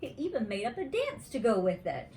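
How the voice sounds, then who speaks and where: A woman reading a bedtime story aloud to young children in an expressive, deliberate teacher's manner. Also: a little high, slight background noise.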